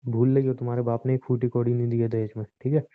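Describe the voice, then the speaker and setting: A man's voice: mid-range voice, flat delivery, racing, clear, resonant tone, close to an everyday voice. A man plays a bitter husband in a domestic argument drama. He is domineering and sarcastic, pushing with taunts and threats. His voice comes through thin and boxed-in, like a recorded phone call. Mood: irritated, scornful.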